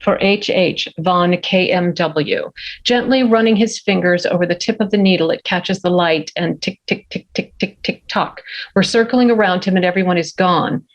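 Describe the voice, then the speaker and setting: A woman's voice: fairly low pitch, moderate pace, lo-fi sound. A woman reading scene headings and action lines as narrator at a script table read. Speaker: stage direction narrator.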